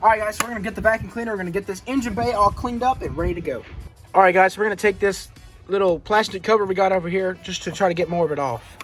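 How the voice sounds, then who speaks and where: A man's voice: high voice, very fast, faint music behind. An American man hosting a vlog, talking in a casual, folksy, hands-on way as he narrates what he is doing. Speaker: vlog host. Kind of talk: casual car-project vlog chat.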